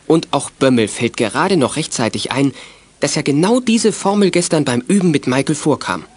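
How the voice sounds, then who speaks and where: A man's voice: fairly high pitch, lively intonation, normal speed, slightly rough. A man acting as the narrator of a children's radio play, reading a scripted youth detective story. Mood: measured storytelling.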